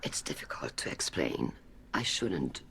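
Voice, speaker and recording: An actor playing an older alien admiral in a video game, grave, guarded and measured. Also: male voice, rumbling low, sing-song, pushed and bright, in a whisper, heavily acted. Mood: somber, reflective. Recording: clear background noise.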